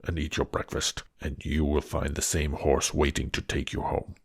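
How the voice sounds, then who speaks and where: A man voicing a fearsome beast who speaks gravely and slowly, with a deep, gravelly voice. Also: flat delivery, dry recording, clean studio sound, full character voice. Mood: commanding.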